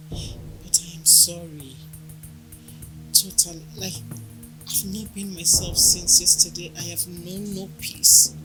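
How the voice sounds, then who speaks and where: A woman's voice: on the low side, poor audio. A woman playing a remorseful young woman who stammers out apologies. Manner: pleading, stammering. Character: guilty young woman begging forgiveness. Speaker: remorseful friend in a skit.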